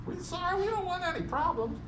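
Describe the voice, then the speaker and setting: Needling younger sibling read by a woman, lively pitch movement, noisy room around the voice. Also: fast. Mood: anxious. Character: needling younger sibling, quick to apologise to strangers. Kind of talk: amateur play reading. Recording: echoey distant mic, noisy room.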